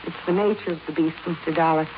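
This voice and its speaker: An actress playing a composed, well-to-do wife in her thirties, measured and formal, in 1950s radio drama style. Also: low-pitched, fairly even intonation, lightly acted. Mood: coolly resigned. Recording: muffled, hissy 1950s radio recording.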